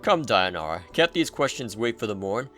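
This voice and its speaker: A man plays a manipulative man from a dark game story, dismissive and calculating toward his lover. His voice is bright and forceful, swinging widely in pitch, with faint music behind it. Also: sing-song, moderate pace. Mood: smoothly false. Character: cold, cunning immortal man, impatient manipulative lover. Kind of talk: dramatic reading of video game prose.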